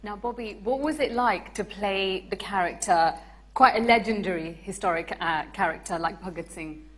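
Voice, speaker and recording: A woman's voice: medium pitch, normal speed, a bit bright. A woman hosting a live on-stage interview, putting questions to her guests. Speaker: radio presenter, event host. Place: radio theatre stage.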